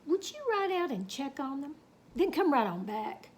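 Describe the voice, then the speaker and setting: Woman acting a plucky high-voiced cowgirl. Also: very animated, moderate pace, darkish tone, slight background noise. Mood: breezy, coaxing. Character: plucky, brisk Texas cowgirl ranch owner.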